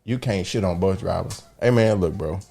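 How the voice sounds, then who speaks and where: A man's voice: sits low, moderate pace, creaky, warm tone, soft background music. A man in casual, slangy podcast banter, blunt and profane. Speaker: podcast co-host.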